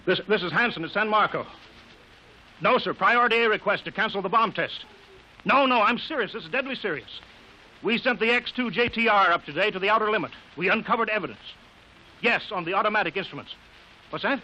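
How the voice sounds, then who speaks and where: A man's voice: high-pitched, brisk, bright, forceful tone. A man playing an anxious air base project chief in 1950s radio drama, urgent and pleading. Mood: urgent, insistent. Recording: muffled, hissy old radio broadcast.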